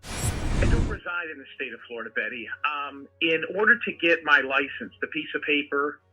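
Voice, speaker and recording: A man's voice: a little high, moderate pace, over music. A man defensively explaining himself as he is questioned on a phone call. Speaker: self-described private investigator being questioned.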